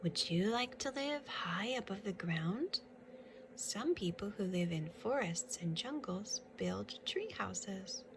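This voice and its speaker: A woman's voice: mid-range voice, lively intonation, background noise. A woman reading a picture book aloud for young children, instructive, with casual asides and questions about the pictures. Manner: instructive, pausing to point out pictures.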